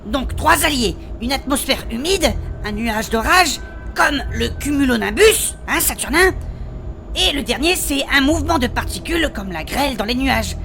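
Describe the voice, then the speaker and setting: A woman acts as a spirited young female adventurer in a comic fantasy audio drama. Her voice sits high, and she talks in a cheeky, colloquial way. Clear music plays behind her. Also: lively intonation, moderate pace, a bit bright, full character voice. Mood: explanatory.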